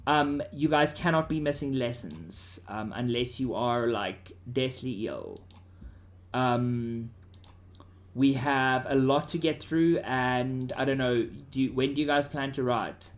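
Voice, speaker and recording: A man's voice: mid-range voice, takes it slowly. A man with a South African accent teaching students in an informal, conversational way, often checking that they follow. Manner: informal, checks listeners' understanding.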